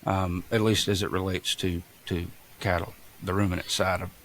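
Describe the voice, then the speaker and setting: A man's voice: low-pitched, little pitch movement, moderate pace, a little hiss or hum. A man from Mississippi with a Southern accent, chatting casually as a farmer guest on a podcast, folksy and self-deprecating. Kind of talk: casual chat.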